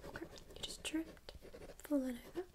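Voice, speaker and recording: A woman whispering softly in a gentle, reassuring ASMR medical roleplay, calmly talking a listener through a check-up step by step. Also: high-pitched, moderate pace. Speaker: ASMR creator playing a first-aid medic.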